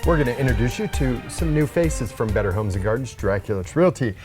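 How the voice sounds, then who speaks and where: A man hosts a real estate radio program with brisk, friendly patter. His voice sits at a mid pitch. Faint music runs behind him. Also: expressive. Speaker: radio show host. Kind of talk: casual radio interview.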